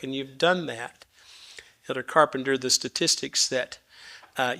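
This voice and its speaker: Man, 60s, raspy, bright forceful tone, gracious, formal panel moderator. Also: mid-range voice. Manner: gracious, formal, a little rambling.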